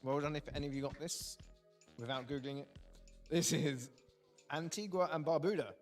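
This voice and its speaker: A man hosting a casual live quiz, dry and wry, often pausing with ums. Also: a little high, faint music behind. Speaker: quiz host.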